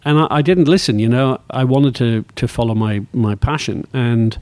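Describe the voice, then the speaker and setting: A man's voice: mid-range voice, normal speed, a little mellow. Northern Irish man of sixty, reflective. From Northern Ireland. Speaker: interview guest, motor racing executive. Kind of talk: reflective conversational interview.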